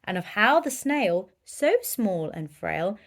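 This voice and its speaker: A woman's voice: mid-range voice, sing-song, slow. A woman reading a children's picture book aloud with dramatic, emphatic storytelling. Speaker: volunteer story reader.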